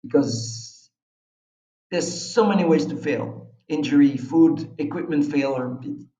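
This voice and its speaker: Man, Belgian accent, non-native English, slightly high voice, emphatic interview guest, dull distant recording. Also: unhurried, dark tone. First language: not English. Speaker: interview guest, expedition adventurer. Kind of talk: conversational interview, recounting experiences. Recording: roomy, distant, dull microphone sound.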